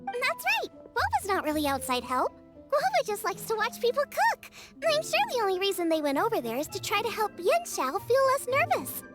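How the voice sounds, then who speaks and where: A woman's voice: extremely high pitch, huge pitch swings, moderate pace, exaggerated voice. A woman voicing a cheerful, encouraging young girl chef in a video game, with music behind her. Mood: earnest. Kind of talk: English video game voice acting.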